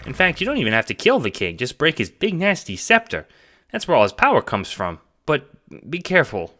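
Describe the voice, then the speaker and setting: A man voicing an eager, nervous, childlike cat sprite in an audio drama, hesitant and excitable. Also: on the high side, fairly quick, pitched above the performer's own voice, exaggerated voice. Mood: excited.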